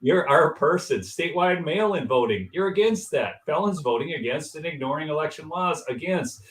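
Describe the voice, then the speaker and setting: A man hosting a political interview, animated and opinionated, jumping in with agreement and his own views. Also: fairly high pitch, normal speed. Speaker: interview host. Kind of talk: political talk show interview. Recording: roomy, distant mic.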